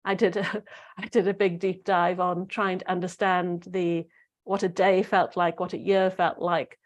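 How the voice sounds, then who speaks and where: A middle-aged woman talks to her listeners in a loose, rambling way, full of fillers and restarts. Her voice sits a little low and sounds slightly croaky. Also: flat delivery, a little slow, lo-fi sound. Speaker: spiritual podcast host. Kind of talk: casual solo talk to listeners.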